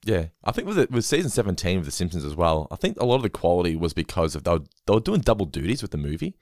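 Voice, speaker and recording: A man hosting a casual podcast chat, talking quickly and laughing often. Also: sits low, sing-song, darkish tone, no echo, clean studio sound. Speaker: podcast host.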